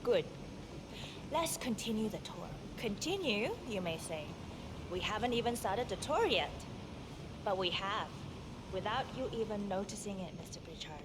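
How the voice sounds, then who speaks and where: A woman playing a composed, businesslike guide at a secretive agency in a game, speaking in a formal, measured, official way. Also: mid-range voice, animated, background noise, natural voice. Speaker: facility guide. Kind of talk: scripted game dialogue.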